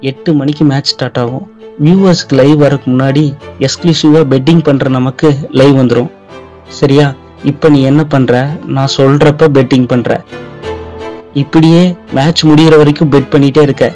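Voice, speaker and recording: A man's voice: mid-range voice, steady tone, normal speed, warm tone, faint music behind, close to an everyday voice. A man playing a persuasive young betting tout, talking in insistent, chummy sales patter in a short film. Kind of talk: naturalistic short film acting in colloquial Tamil.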